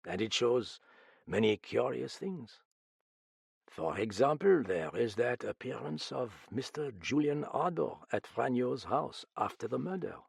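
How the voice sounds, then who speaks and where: Commanding French sleuth for a mystery audiobook, played by a male narrator: measured, pointed, husky, very animated intonation. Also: mid-range voice, dry recording, clean studio sound, heavily acted. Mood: musing, probing. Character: calm, commanding French detective, grave and theatrical.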